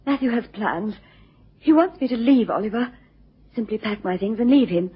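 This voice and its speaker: A woman's voice: a little high, animated, moderate pace, husky. An actress playing a distressed, genteel wife in a radio drama, hesitant and pleading as she seeks help. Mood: anxious, beseeching. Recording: muffled lo-fi radio recording.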